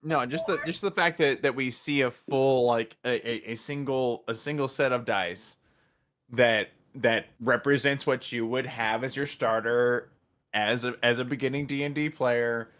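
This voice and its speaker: An American man talking casually as a podcast co-host, enthusiastic but halting, often repeating words as he works out his point. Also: medium pitch, a bit bright. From United States. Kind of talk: casual chat about a TV show.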